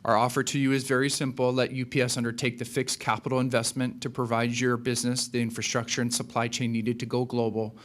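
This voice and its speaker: A man's voice: mid-range voice, level tone, fast, hardly any pauses. A man from Hawaii presents slides to a seminar audience. His delivery is polished and mostly scripted, broken by the odd filler. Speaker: account executive presenting.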